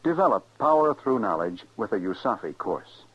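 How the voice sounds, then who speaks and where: A man with an American accent and a husky voice reading a scripted public service announcement as an old-time radio announcer. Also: fairly low pitch, sing-song, moderate pace. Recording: muffled old radio recording.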